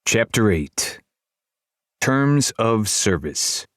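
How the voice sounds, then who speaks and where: A man's voice: fairly low pitch, big pitch swings, extremely slow, a bit bright, no room sound, studio quality. A man reading scripted fantasy fiction as an audiobook narrator.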